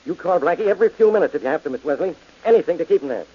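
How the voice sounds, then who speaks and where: A man playing a gruff, determined police inspector in an old radio drama. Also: fairly high pitch, animated, very fast, mellow. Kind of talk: 1940s radio acting. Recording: dull old radio recording.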